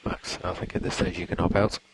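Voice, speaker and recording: A calm male race engineer giving clipped, procedural instructions over team radio, repeating key points. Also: sits low, fast. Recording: noisy radio link, engine noise.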